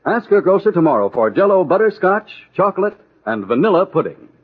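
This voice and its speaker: Lively old-time radio announcer, tinny recording. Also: male voice, sits high, brisk, breathy edge, faint music behind. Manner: folksy, enthusiastic salesmanship. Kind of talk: 1940s radio advertising pitch.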